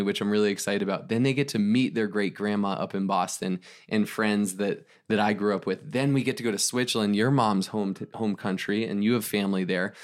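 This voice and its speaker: A man co-hosting a podcast, chatting casually in an upbeat, motivational way, talking in long run-on stretches. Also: on the low side. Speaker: podcast co-host. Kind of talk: casual chat.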